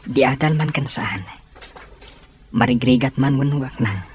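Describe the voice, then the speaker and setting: A kind, sincere young man played by an actor speaking as a suitor in a radio drama. He has a mid-pitched voice and an Ilocano accent, with music clearly behind him. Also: measured pace, close to an everyday voice. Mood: sincere, tender.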